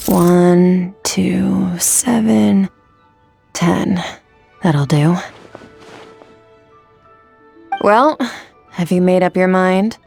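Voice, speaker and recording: A woman playing a cold, aloof young swordswoman master in an anime game, terse, measured and detached. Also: fairly low pitch, creaky, a little mellow, soft background music, full character voice. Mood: cool, impatient.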